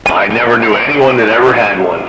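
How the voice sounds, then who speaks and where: A man's voice: medium pitch, a little fast, heavy background noise. A man chatting casually as a radio hobbyist during a CB session. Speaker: radio hobbyist on a CB round-table.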